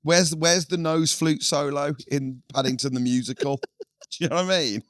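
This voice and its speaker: A man co-hosting a comedy podcast, ranting in animated, sweary casual banter in a studio. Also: on the high side, moderate pace, no room sound. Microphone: headphones and studio mic.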